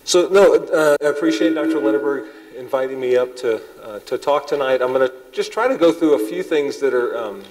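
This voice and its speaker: A man in his thirties, a cardiologist, giving a public lecture to an audience in a large hall. He has a loud voice and talks haltingly, with many ums and restarts. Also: mid-range voice, expressive. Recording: long hall reverb.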